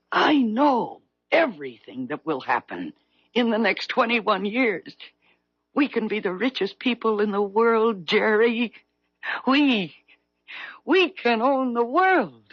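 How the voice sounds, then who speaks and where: An actress playing a worn, desperate woman of forty-three in a 1970s radio drama, with a husky voice. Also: a little high, big pitch swings, unhurried, no room sound, full character voice. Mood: urgent, pleading. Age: middle-aged. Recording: muffled vintage radio recording with music.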